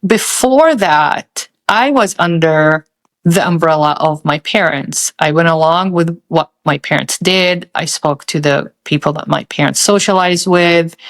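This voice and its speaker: A woman who grew up in Saudi Arabia, telling a personal story in a thoughtful, halting way with many pauses. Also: low-pitched. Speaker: podcast host.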